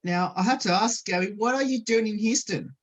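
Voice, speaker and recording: A radio sports host introduces guests and asks questions. The delivery is rambling and hesitant, full of repeated stock phrases. The voice sits at a middling pitch. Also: fairly quick. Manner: rambling, keeps repeating "of course" and "obviously". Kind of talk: radio interview hosting.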